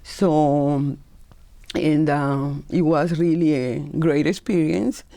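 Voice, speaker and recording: Woman guest from Colombia with a Colombian Spanish accent, gravelly and warm-toned, earnest and searching for words. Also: low voice, fairly even intonation, slow pace. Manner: earnest, repeats words as she searches for phrasing. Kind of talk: talk show interview.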